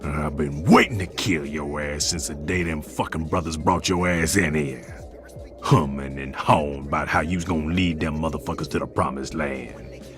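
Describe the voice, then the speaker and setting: An actor playing a gloating, foul-mouthed thug in a video game, with a sneering colloquial drawl. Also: male voice, rumbling low, normal speed, over music. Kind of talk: dramatic video game acting.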